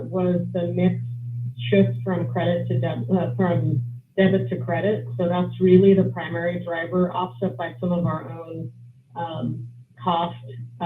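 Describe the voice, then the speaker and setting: An executive giving measured, businesslike answers on a company earnings call. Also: mid-range voice. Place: conference call. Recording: roomy, noisy phone-line conference call audio.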